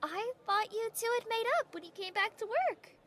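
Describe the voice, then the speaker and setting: A woman's voice: very high-pitched, normal speed, a bit bright. An actress playing a nosy, familiar young woman who works in a castle, gossipy and probing, in an audio drama. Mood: curious, probing.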